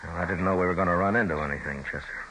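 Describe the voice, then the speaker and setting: An actor playing a calm, stern frontier marshal in an old-time radio western, speaking in a laconic, authoritative, folksy way. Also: male voice, deep voice, rapid-fire, natural voice. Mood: low-key, watchful. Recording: noisy old radio drama recording.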